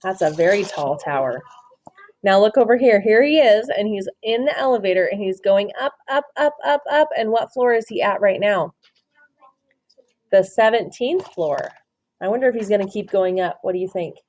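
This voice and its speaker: A woman doing an interactive picture-book read-aloud for children, expressive and often asking questions. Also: mid-range voice, slight background noise. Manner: expressive, asks questions and pauses for answers. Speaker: storytime reader, teacher.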